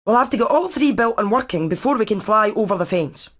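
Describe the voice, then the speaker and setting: A woman voicing a practical, clever engineer hen in an animated game, methodical and matter-of-fact. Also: medium pitch, quick pace, lightly acted. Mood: methodical, keen. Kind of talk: animated video game voice acting.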